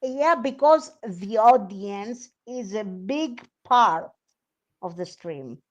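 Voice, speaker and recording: A woman from Greece with a Greek accent, hosting a live stream interview in English with a slow, halting, friendly delivery. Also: medium pitch, lively intonation, highs cut off. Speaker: radio host.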